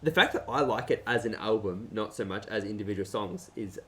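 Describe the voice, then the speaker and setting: A man's voice: lowish voice, fairly quick, bright, forceful tone. A man chatting casually as a podcast co-host. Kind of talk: casual chat.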